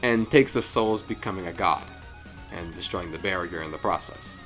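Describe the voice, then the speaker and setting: A man giving a casual, jokey review commentary as the host, with animated delivery and frequent asides. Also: sits low, moderate pace. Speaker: host of a fan story review show. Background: music.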